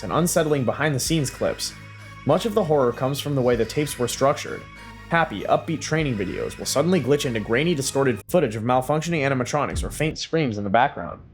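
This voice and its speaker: A man reading scripted explainer narration in a measured, even documentary manner. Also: medium pitch.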